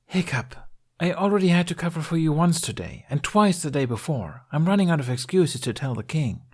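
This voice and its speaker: A man's voice: a little high, animated, a little fast, husky, voice pushed up. A man voicing a fierce, exasperated young woman knight in an audiobook, stern and commanding.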